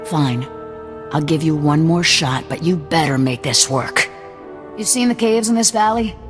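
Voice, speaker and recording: An actress playing a hard-nosed, distrustful resistance leader who gives clipped, commanding orders. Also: female voice, low-pitched, unhurried, faint music behind. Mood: stern. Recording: echoey game cutscene with loud score.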